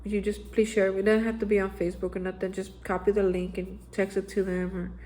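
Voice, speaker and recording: A man's voice: sits high, level tone, fast, a little mellow, a little hiss or hum. A man giving a daily spiritual recovery reflection as a vlogger, talking earnestly and urging the listener on, often repeating himself and saying "man". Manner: earnest, exhorting, repeats phrases and says "man". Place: Austin, Texas area.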